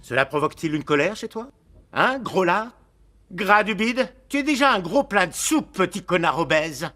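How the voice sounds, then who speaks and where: An actor playing a mocking, insulting cartoon character with a taunting, drawn-out delivery. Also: male voice, high-pitched, expressive, sharp tone. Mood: scornfully taunting.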